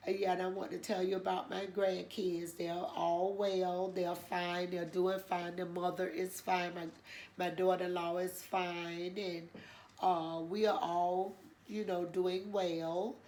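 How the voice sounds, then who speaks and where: An older woman speaks slowly and rambles through a casual chat, full of pauses and fillers. Her voice is congested and nasal, with a gravelly fry underneath. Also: lowish voice, little pitch movement, a little mellow, slight background noise. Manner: slow, rambling with many fillers. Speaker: storytelling channel host.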